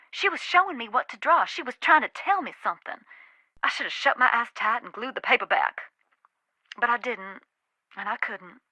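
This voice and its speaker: A woman's voice: fairly high pitch, sharp tone, dry recording, poor audio. An actress playing a wry, haunted Southern woman who knows about ghosts, with a folksy, dramatic, teasing manner in a video game. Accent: American South.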